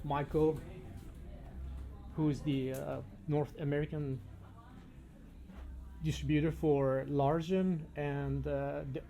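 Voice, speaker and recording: A man's voice: on the high side, flat delivery, takes it slowly, vocal fry, long pauses, dark tone. A man speaking casually and appreciatively as an on-location interviewer at an audio show. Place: demo room at an audio show. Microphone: binaural microphone.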